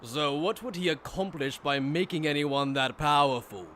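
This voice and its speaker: A man's voice: a little high, normal speed, fairly bright tone, slight background noise, lightly acted. A man playing a smug, taunting aristocratic villain who talks down through rhetorical questions. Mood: smug, probing.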